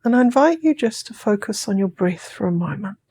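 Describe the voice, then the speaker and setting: A woman with a New Zealand accent guiding a sleep hypnosis session, speaking in slow, soothing, drawn-out phrases that sometimes drop to a whisper. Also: medium pitch, expressive, warm tone, slightly rough.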